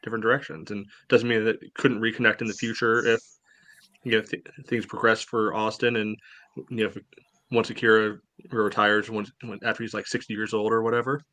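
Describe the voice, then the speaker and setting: A man's voice: on the low side, little pitch movement, brisk, pushed and bright. A man co-hosting a casual sports podcast, with dry humor and frequent "you know"s. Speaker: podcast co-host.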